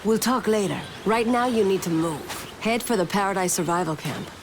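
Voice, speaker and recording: A woman acts out a practical survivor guide in a zombie island video game. She is brisk and matter-of-fact at a medium pitch, with noticeable outdoor ambience behind her. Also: close to an everyday voice. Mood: urgent, concerned. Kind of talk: video game cutscene acting. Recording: game audio with clear ambient noise.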